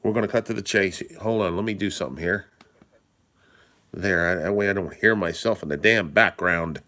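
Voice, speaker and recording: A man hosting a live stream, hoarse and stuffed up from a cold, talking casually in a rambling way and greeting viewers by name. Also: low-pitched, normal speed, pushed and bright. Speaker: livestream host.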